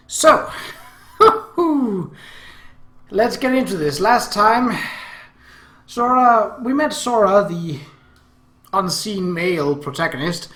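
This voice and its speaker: A man hosting a let's play of a visual novel, commenting and reading aloud in an animated, emphatic manner. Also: sits high, echoey room.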